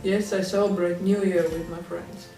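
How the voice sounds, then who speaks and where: Man, flat delivery, short answers, roomy. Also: high voice, moderate pace. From Latvia. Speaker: interviewee. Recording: echoey room, distant mic, faint music.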